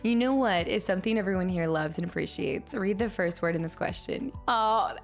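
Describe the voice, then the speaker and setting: A woman streamer chatting casually with her viewers and thanking them, upbeat, her voice a little raspy today. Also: mid-range voice, a little fast, faint music behind. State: slightly raspy voice. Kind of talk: casual stream chat reading chat. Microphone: streaming mic.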